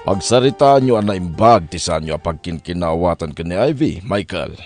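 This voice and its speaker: A man's voice: sits low, expressive, moderate pace, music in the background. A man playing a grave, conciliatory middle-aged father with an Ilocano accent, giving counsel in a family radio drama. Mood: earnest, advising.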